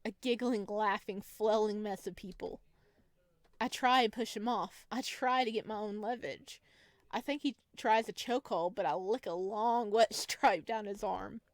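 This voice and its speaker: A woman reading a visual novel aloud as expressive first-person narration, with a playful, teasing manner. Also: medium pitch, moderate pace, dry recording.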